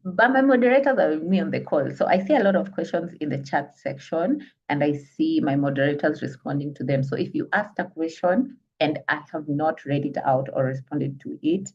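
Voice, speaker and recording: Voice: mid-range voice, fairly quick, few pauses, slightly rough. A business trainer with a Kenyan accent gives an online lecture, explaining step by step and often asking listeners if they follow. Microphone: video call. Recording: distant, muffled call audio.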